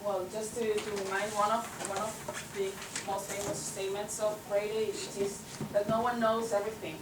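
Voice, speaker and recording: A woman presenter speaks from a distance in an echoey conference room, with loud noise behind her. She pauses often with fillers as she explains her ideas. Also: mid-range voice, flat delivery. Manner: hesitant, many fillers. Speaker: workshop presenter. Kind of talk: guided imagery then academic talk.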